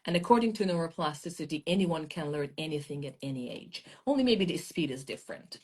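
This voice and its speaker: A woman's voice: low voice, expressive, fast, darkish tone. Emphatic Azeri-native woman around forty. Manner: emphatic, motivational. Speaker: guest, language education expert. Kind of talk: interview answers.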